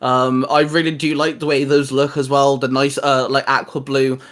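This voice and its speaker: A young man chatting casually as a co-host, excitable and quick to laugh, swearing freely. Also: mid-range voice. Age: young adult. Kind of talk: casual podcast chat.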